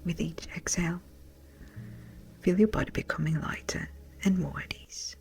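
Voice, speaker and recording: A woman with a breathy, whispery voice gently and soothingly guides a meditation in a measured way. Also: on the low side. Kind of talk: slow guided meditation narration. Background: music.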